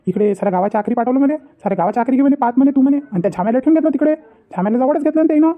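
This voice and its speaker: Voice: high voice, expressive, rapid-fire. A performer voicing a cheeky, grumbling young village lad in a comedy cartoon, with a Vidarbha Marathi accent and a habit of repeating himself. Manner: muttering complaints, repeating himself. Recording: muffled, phone-like lo-fi sound.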